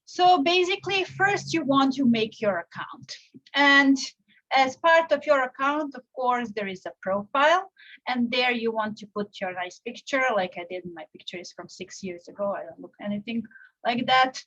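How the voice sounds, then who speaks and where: A young woman from Serbia giving a live online software tutorial, explaining step by step with frequent fillers and restarts. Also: fairly high pitch, lively intonation, moderate pace, dull audio. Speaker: teacher presenting a software tutorial. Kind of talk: live tutorial with screen share.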